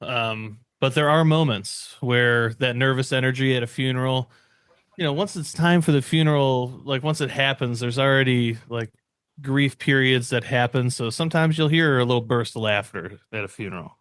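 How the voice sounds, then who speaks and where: A man's voice: mid-range voice, moderate pace, a bit bright, clear, resonant tone, no room sound. Joking man in a dry-sounding podcast chat. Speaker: podcast host.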